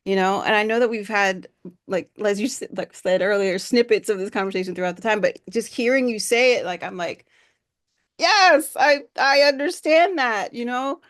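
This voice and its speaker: A woman hosting an interview, speaking in an unhurried, affirming way with many fillers. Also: mid-range voice, fairly bright tone. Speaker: artist host. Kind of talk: conversational interview.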